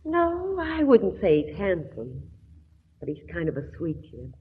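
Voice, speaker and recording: An actress in an old radio drama playing a kind-hearted, streetwise young nightclub dancer with a slangy, chummy manner. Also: female voice, on the low side, huge pitch swings, a little slow, a little hiss or hum. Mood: fond, wistful. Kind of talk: 1940s radio drama acting. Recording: muffled, narrow old radio recording.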